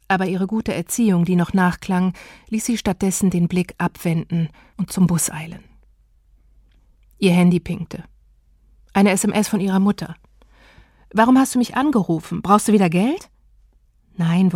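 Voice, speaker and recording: A woman narrating an audiobook in a calm, measured storytelling manner. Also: medium pitch, dry recording, clean studio sound. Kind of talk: scripted narration.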